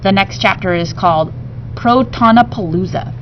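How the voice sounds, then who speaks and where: Female teacher-type storyteller, expressive children's book narration; dull home recording with light background noise. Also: medium pitch, moderate pace. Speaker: teacher reading a book aloud. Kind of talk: expressive read-aloud narration of a children's novel.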